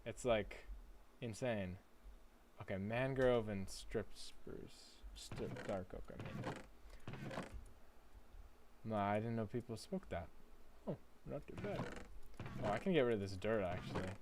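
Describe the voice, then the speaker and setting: A man's voice: on the low side, expressive, unhurried, pauses often, noisy background. A man chatting casually on a gaming livestream, playful, reading chat aloud and laughing often. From United States.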